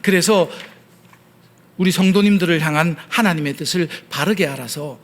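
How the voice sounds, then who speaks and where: A male pastor with a Gyeongsang accent and a fairly high voice delivers a sermon in a church. He talks earnestly and patiently, often stopping on hesitation sounds as he explains a point. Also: a bit bright. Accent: Gyeongsang Korean. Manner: earnest preaching with frequent hesitation fillers. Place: church sanctuary.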